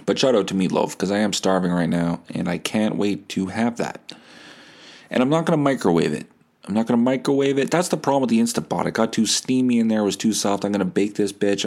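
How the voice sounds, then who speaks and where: A man's voice: fairly low pitch, fairly quick, gravelly, darkish tone. A young man hosting a casual, rambling solo podcast in a profane, slangy, self-deprecating way. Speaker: podcast host.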